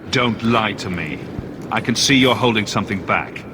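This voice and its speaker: An actor playing a blunt, demanding adventurer in a video game, speaking in clipped, commanding phrases. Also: male voice, fairly low pitch, moderate pace, noisy background, close to an everyday voice. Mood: impatient. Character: curt, demanding male adventurer hero. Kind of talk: video game protagonist acting.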